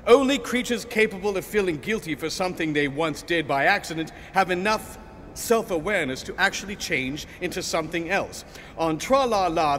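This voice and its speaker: A man's voice: high-pitched, fairly bright tone. A man voicing an impatient, sarcastic talking lightning, a wordy life force in a comic sci-fi audio play. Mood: relieved, lecturing. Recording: long reverb, faint background noise.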